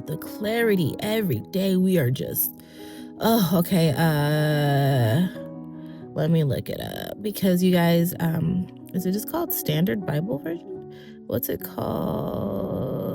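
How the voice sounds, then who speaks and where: A woman's voice: mid-range voice, darkish tone. A young woman with a nasal voice hosting her own podcast, chatty and self-mocking in a casual solo talk. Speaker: podcast host.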